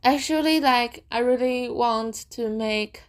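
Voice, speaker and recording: Young woman, native Chinese speaker, slightly high voice, slow, hesitant casual chat. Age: young adult. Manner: hesitant, halting non-native English, frequent "okay?" tags; slow, thoughtful, giggles often. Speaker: student vlogger and podcaster.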